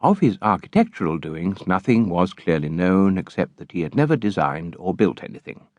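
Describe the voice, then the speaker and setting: Male narrator, low voice, expressive literary reading, animated intonation, dry close recording. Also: normal speed, no room sound, lo-fi sound. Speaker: audiobook narrator.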